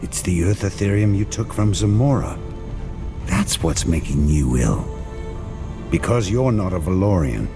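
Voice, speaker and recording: A man's voice: low voice, animated, unhurried, music in the background. A man playing a stern, protective warrior in a fantasy video game, speaking in terse, grave lines. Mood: concerned, blunt. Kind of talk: scripted video game cutscene dialogue.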